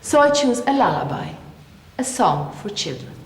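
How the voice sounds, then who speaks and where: A woman's voice: medium pitch, big pitch swings, normal speed. A woman with an Italian accent, a native Italian speaker, explaining her work as a performer in careful English with small grammar slips, on a theatre stage. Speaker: actress and performer. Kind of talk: lecture-demonstration. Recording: echoey theatre hall with faint ambience.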